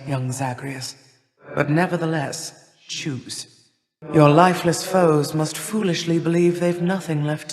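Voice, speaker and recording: A woman playing an imperious, cold older goddess of winter and harvest in a video game, with stately, formal, measured delivery. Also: low-pitched, darkish tone. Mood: bleak, solemn. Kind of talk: theatrical video game character lines. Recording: long reverberant hall echo.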